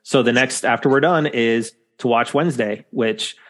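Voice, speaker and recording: A man's voice: medium pitch, normal speed, fairly bright tone. Male podcast host with a mid-range voice, easygoing and rambling, full of ums. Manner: rambling, lots of fillers. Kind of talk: casual chat.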